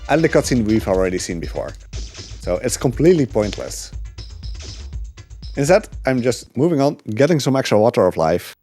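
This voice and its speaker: A man giving relaxed live commentary on his speedrun, explaining the route with frequent fillers. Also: mid-range voice, moderate pace, pauses often, faint music behind.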